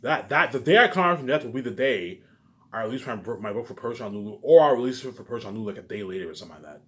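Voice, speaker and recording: A man's voice: mid-range voice, animated. A man giving a rambling, informal monologue to his viewers, repeating himself, with emphatic profane bursts that drop into muttered asides. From Canada. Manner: repetitive, halting, with habitual trailing tags. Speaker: vlogger.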